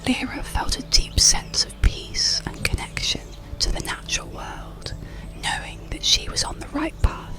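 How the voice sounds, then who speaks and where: Bedtime ASMR storyteller, breathy whisper with creaky edges, calm and soothing delivery. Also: extremely low pitch. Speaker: audiobook narrator.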